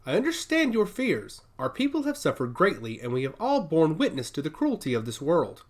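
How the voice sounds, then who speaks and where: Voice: mid-range voice, wildly swooping pitch, brisk. A narrator voicing a revered, commanding old woman who leads a secret order, speaking steadily and with solemn deliberation. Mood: resolute. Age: older.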